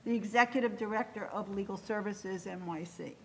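A woman moderating a public hearing, introducing speakers formally and deliberately, her speech broken by coughing from a tickle in her throat. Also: medium pitch, a bit bright, long reverb tail, a little hiss or hum. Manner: slow, deliberate, interrupted by coughs.

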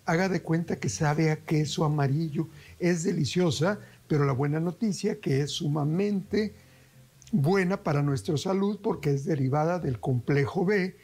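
A man's voice: high voice, a little mellow, husky. A middle-aged man, hosting a TV cooking show in a folksy way and talking to viewers as family. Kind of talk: TV cooking demonstration. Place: TV kitchen studio set.

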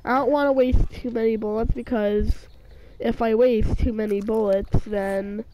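A woman's voice: fairly high pitch, steady tone, unhurried, dark tone, slight background noise, poor audio. A woman talking over her survival game while sick, rambling with lots of fillers and restarts. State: sick, voice sounds off. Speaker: gaming channel host. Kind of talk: casual let's-play commentary.

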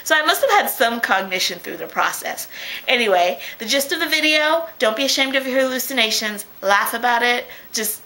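A young woman talking casually to her webcam from a small room at home, chatty and quick to laugh, often restarting her sentences. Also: fairly high pitch, expressive, sharp tone, rough recording. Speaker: vlogger. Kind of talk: casual video diary. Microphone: webcam at close range.

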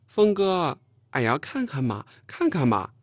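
一位男演播者在有声书里演一个爱捉弄人、又爱发号施令的年轻女同事，时而撒娇，时而数落。. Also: male voice, fairly high pitch, huge pitch swings, dry recording, voice pushed up, heavily acted. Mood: teasing, coaxing. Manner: mocking, quick to pout and scold. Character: brash teasing young woman colleague, playful and bossy. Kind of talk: audiobook character dialogue.

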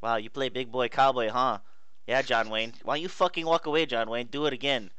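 Man playing a warlord, broken English, angry, crisp clear voice, dry sound. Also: medium pitch, a little fast, dry recording, close to an everyday voice. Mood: furious. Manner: broken English, pleading then cursing and shouting. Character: hostile male local warlord, broken English. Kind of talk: milsim roleplay.

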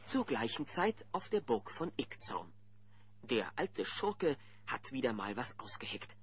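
A man's voice: medium pitch, big pitch swings, unhurried. A man narrating a children's audio drama in a dramatic storytelling manner. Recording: noisy old cassette transfer.

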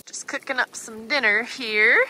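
A woman's voice: fairly high pitch, a little slow. A young woman giving a cheerful, easygoing recap of a road trip in casual travel-diary style. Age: young adult. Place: in a truck and outdoors at a campsite. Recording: outdoor camera audio with clear background noise.

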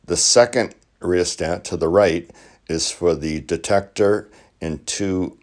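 Low-pitched, slow-talking man. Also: fairly even intonation. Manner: measured, matter-of-fact, short phrases with pauses. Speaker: collector demonstrating vintage radios. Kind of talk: instructional narration.

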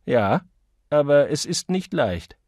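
A man's voice: fairly high pitch, very animated, slow pace, darkish tone, no room sound, exaggerated voice. A man voicing a cool, enigmatic man who teases in a dry, condescending way, as an audiobook character. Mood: mocking, aloof. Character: cool, mocking, enigmatic man toying with his listener.

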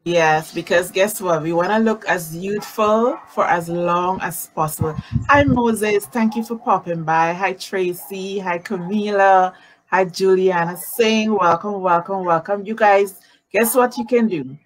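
A woman in her forties hosting a live-streamed interview from home over a video call, animated and greeting viewers by name. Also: mid-range voice, measured pace, a little hiss or hum, rough recording. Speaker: talk show host. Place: home room, indoors. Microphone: video call webcam.

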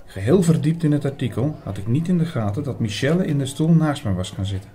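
A man playing an earnest priest who narrates a mystery audio drama in a measured, bookish, formal way. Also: medium pitch, animated, mellow, lightly acted. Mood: earnest, absorbed. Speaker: narrator and lead actor.

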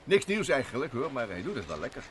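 An actor playing an eager, stammering professor and inventor, on an old radio play tape with hiss. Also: male voice, mid-range voice, wildly swooping pitch, fast. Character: excitable middle-aged male professor inventor. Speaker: professor Ben Curtis. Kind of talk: 1960s radio drama acting.

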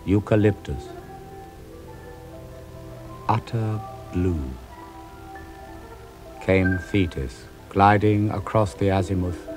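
An older man reading epic poetry aloud in a slow, grave, declamatory manner, with faint music behind. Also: lowish voice.

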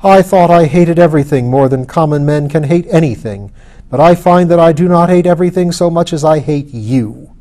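A man's voice: fairly high pitch, moderate pace, dark tone, close to an everyday voice. A man reading a storybook, voicing a bitter, defiant anarchist who declaims with passion against the powerful. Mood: hateful. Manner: impassioned, declamatory.

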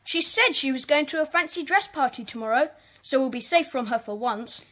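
An actress playing a bossy, earnest schoolboy club leader, brisk and commanding, in a children's audio drama. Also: female voice, high voice, animated, sharp tone. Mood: exasperated.

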